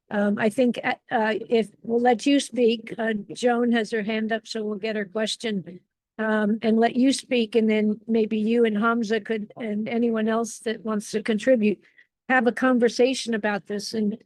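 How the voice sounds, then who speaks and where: A woman hosting an online panel, talking in halting starts with many restarts and repeated words. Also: fairly high pitch, darkish tone, no echo, low-quality recording. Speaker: event host and moderator. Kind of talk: casual panel moderation.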